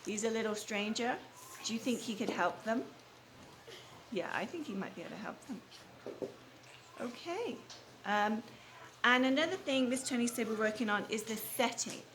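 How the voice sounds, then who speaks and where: Higher-voiced woman teaching children, noisy room. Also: lively intonation, moderate pace, pauses often. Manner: teacherly, repeats pupils' answers back. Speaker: read-aloud storyteller leading a children's class. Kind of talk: storybook reading and questioning young children. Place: classroom or school room with children. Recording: noisy live room with background noise.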